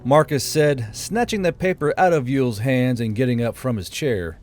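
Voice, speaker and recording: A man's voice: mid-range voice, normal speed. A man reading a fantasy novel aloud as scripted audiobook narration. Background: quiet background music.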